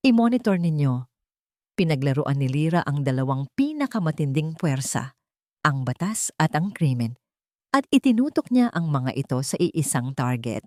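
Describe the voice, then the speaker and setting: A woman's voice: deep voice, sing-song, moderate pace, a little mellow, no room sound. Woman narrating drama in a dry studio. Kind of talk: dramatic scripted story narration.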